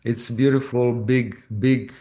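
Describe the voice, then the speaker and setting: A man with a Croatian accent, an actor telling his story in a casual interview, halting with many fillers and restarts. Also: mid-range voice, fairly even intonation, mellow. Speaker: actor guest.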